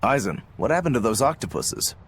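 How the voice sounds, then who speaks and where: A cocky male fighter in a game cutscene, casual and nosy. His medium-pitched voice moves around a lot as he teases. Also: big pitch swings, a little fast, slight background noise. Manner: casual, teasing, insinuating. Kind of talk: English video game voice acting.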